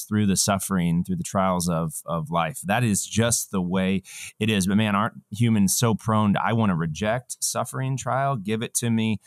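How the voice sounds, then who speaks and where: A man in his thirties hosting a faith interview over a video call from a home office, asking thoughtful questions with pauses and restarts. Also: lowish voice, no room sound, clean studio sound. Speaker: podcast host. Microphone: studio microphone on video call.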